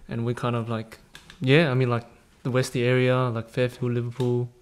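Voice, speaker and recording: A man's voice: medium pitch, monotone, moderate pace. A man co-hosting a podcast, speaking hesitantly and hedging with "like" and "I mean". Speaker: podcast co-host. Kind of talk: casual chat among friends.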